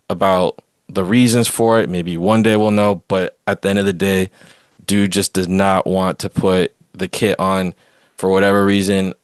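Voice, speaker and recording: This is a man with a low voice, recorded close and dry. He hosts a casual sports podcast and gives his opinions in a rambling, conversational flow. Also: smooth, dry recording. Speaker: podcast host.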